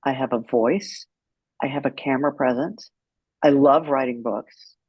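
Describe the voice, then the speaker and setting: A woman's voice: rumbling low, a little slow, husky, dry recording, lo-fi sound. A woman speaking as an interview guest, telling her story in a candid, outspoken and emphatic way. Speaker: guest, author and caregiver advocate.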